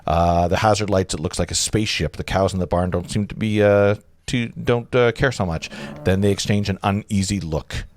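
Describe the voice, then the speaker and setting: A man's voice: low-pitched, normal speed, hardly any pauses, slight background noise. A man narrating screenplay directions in an informal live read, stumbling and correcting himself with amused asides.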